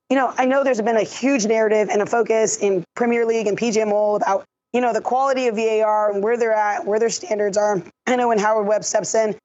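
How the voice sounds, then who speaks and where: Woman, hoarse from shouting, calm technical referee analyst, slightly high voice, muffled lo-fi line. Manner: measured, explanatory, technical. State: lost her voice. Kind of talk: podcast discussion.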